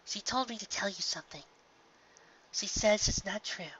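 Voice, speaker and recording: A woman voicing a gentle, grieving young pony mare in a fan audio drama, with a soft, earnest, emotional delivery. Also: medium pitch, moderate pace. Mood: gently pleading. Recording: dull lo-fi home recording, clear background noise.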